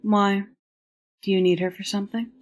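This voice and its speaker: A woman's voice: mid-range voice, flat delivery, moderate pace, close to an everyday voice. A woman playing a cool, dry-witted young rescuer in an audio drama, with an exasperated manner. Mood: impatient.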